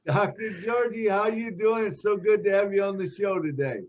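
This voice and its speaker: A man's voice: high voice, normal speed, muffled recording, noisy background. A man co-hosting an online show, introducing the guest in a casual, friendly way over a video call. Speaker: co-host.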